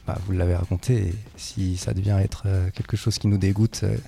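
A man's voice: low-pitched, fairly even intonation, fairly quick, vocal fry, dark tone, slight background noise. A man interviewing guests, hesitant and searching for his words. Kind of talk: relaxed interview questions.

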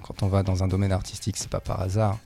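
A man's voice: low-pitched, completely flat delivery, fast, dark tone. A man interviewing guests, hesitant and searching for his words. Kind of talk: relaxed interview questions.

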